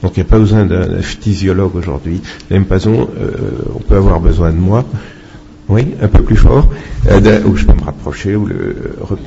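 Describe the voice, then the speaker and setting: A man speaking off the cuff in a halting, opinionated way as a doctor answering questions at a public talk. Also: low voice, vocal fry, dark tone. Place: public meeting with microphone. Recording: muffled, noisy public-event recording.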